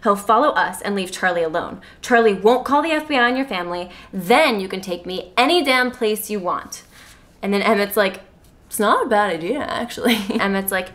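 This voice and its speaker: A woman's voice: mid-range voice, lively intonation, brisk, fairly bright tone. A young woman co-hosting a casual book club, reading passages aloud and adding wry, sarcastic asides. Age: young adult. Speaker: podcast co-host. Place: indoor room, sitting on a couch.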